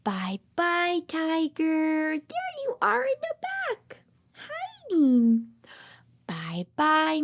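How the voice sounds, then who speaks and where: A woman hosts a toddler read-aloud in a high voice that swoops dramatically. She speaks extremely slowly, repeating simple phrases and questions with exaggerated, child-directed expression. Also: darkish tone.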